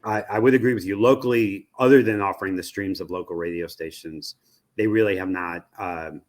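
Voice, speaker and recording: An American man giving conversational, analytical interview answers as an expert guest, thoughtful and halting, often restarting his phrases. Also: low-pitched, steady tone. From United States. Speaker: media research executive, interview guest.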